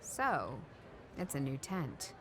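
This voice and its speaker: A woman's voice: rumbling low, wildly swooping pitch, slow, background noise, heavily acted. An actress playing a guarded, inquisitive young woman detective in a video game, dry and matter-of-fact. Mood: probing, dry. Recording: music behind the voice.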